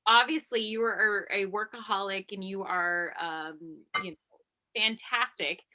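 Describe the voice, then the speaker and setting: A woman's voice: medium pitch, unhurried, bright, forceful tone. A woman hosting a podcast interview, enthusiastic and encouraging as she asks her questions. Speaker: podcast host. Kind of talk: casual interview chat.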